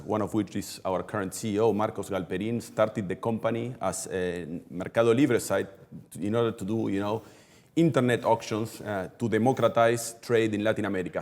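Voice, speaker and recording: Low-voiced businessman. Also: fairly quick. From Argentina. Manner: steady, explanatory, often repeats words while thinking. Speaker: logistics executive, guest. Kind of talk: webinar interview answers. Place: company headquarters office.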